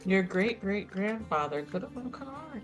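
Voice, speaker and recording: A woman's voice: mid-range voice, very animated, moderate pace, music in the background. A woman player at a tabletop roleplaying game, making wry, teasing asides in casual talk.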